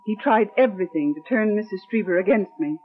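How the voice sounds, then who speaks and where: A woman's voice: medium pitch, expressive, fast talker, slightly rough, soft background music. An actress playing a principled, wary female assistant in a 1940s radio drama, speaking formally and earnestly. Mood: aggrieved. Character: principled, wary young woman, a loyal assistant and narrator. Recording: muffled, band-limited old radio recording.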